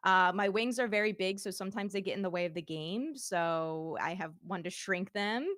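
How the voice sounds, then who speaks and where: A woman's voice: medium pitch, moderate pace, fairly bright tone, no echo, studio quality. A woman VTuber chatting casually and demoing her streaming setup, giggling often. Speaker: VTuber guest. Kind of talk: casual livestream demo chat. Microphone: streaming microphone.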